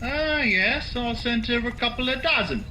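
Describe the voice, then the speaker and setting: Man playing a high-pitched, gruff, folksy shopkeeper, animated. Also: normal speed, slight background noise, exaggerated voice, rough recording. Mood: irritated, suspicious. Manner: folksy, blustery. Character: gruff, stubborn, warm-hearted male market poultry seller. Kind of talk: radio drama acting.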